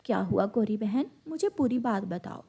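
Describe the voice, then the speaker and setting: A woman's voice: mid-range voice, lively intonation, moderate pace, mellow, slightly rough. Woman acting a harsh, scolding stepmother bird. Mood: concerned. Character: harsh, angry stepmother bird, cruel and spiteful.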